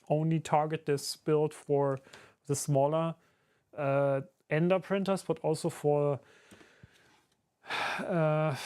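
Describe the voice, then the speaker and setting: A German man, a native German speaker talking in English with a German accent, chatting casually on a live stream while he builds and answers his chat. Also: a little high. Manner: relaxed and conversational, many fillers, answers chat. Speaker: streamer and YouTuber building a 3D printed enclosure.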